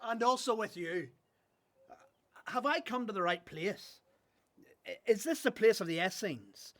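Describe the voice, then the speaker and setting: Earnest prophet-to-be for biblical radio drama male actor: humble, hesitant, widely varied pitch, dry studio sound. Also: animated, measured pace, a bit bright, no echo. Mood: polite, humble. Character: earnest, humble grown son called to be prophet. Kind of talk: radio Bible drama acting.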